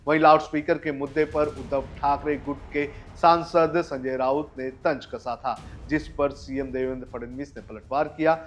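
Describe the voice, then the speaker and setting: A man's voice: medium pitch, steady tone, normal speed, few pauses. A man presents the news in a scripted narration style over a music bed. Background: background music.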